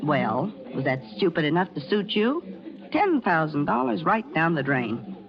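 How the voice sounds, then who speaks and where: An actress playing a brash, quick-witted American press photographer, skeptical and pointed, in 1940s radio drama style. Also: female voice, low-pitched, sing-song, full character voice. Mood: indignant. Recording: dull vintage radio recording with music.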